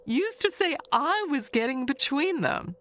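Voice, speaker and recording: A woman playing a chatty, nosy older neighbor in an audio mystery monologue, gossiping in a confiding, halting way with sly wistfulness. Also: high voice, sing-song, fairly bright tone, a little hiss or hum, exaggerated voice. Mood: coy, flattered.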